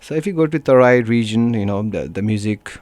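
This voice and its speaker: Low-voiced Nepali man, halting and casual. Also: animated, a little mellow, no echo. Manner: halting, searching for words, frequent "you know". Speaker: musician being interviewed, guitarist. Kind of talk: casual radio interview. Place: radio studio.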